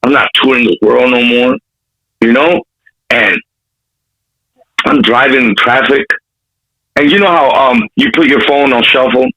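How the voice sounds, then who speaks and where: A man's voice: mid-range voice, fairly even intonation, measured pace, gravelly, long pauses, bright, forceful tone, poor audio. A man from Compton with an urban Los Angeles accent, telling stories as a rapper in a casual interview, using streetwise slang and frequent swearing. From Compton, California. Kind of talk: animated storytelling in a casual interview.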